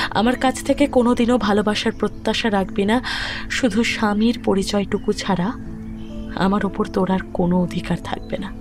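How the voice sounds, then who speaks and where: A woman with a Kolkata Bengali accent playing a grieving, resolute young widowed mother in an audio story, speaking firmly and deliberately. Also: mid-range voice, close to an everyday voice. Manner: solemn, deliberate, firm. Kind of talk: romantic audio drama dialogue. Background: background music.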